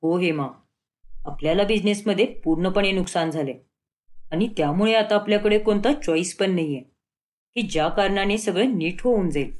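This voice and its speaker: A woman's voice: mid-range voice, normal speed, darkish tone, lightly acted. A woman voicing a stern, weary middle-aged husband and father in a cartoon story, firm and admonishing. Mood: resigned.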